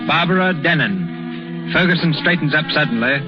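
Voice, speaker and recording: A man hosting and narrating a 1930s radio drama with formal, measured scripted delivery. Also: on the high side, animated. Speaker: radio show host and narrator. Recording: muffled 1930s radio broadcast over music. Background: music.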